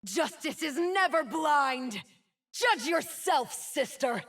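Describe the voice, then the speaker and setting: A woman's voice: high-pitched, animated, a bit bright, full character voice. An actress playing a bitter, wounded fallen winged woman in a video game, solemn and theatrical. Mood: scornful, defiant. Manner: slow, solemn, theatrical delivery. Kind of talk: dramatic video game champion voice lines.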